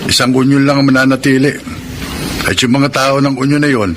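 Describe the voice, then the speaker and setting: A medium-pitched male voice of an actor plays a pessimistic dockworker in a radio drama. Noticeable vehicle ambience runs behind him. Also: flat delivery, brisk, natural voice. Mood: gloomy, resigned. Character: gloomy, well-informed dockworker and union member. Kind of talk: Filipino radio drama acting. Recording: radio drama over traffic-like ambience noise.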